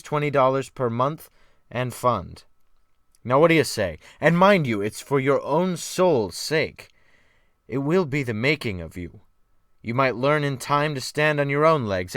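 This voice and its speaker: A man performs a domineering sea captain in a storybook drama. He speaks with imperative, mocking scorn, forceful and animated, in a close, dry recording. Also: medium pitch, measured pace, no room sound. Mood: mocking, commanding. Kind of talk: dramatic audiobook character acting.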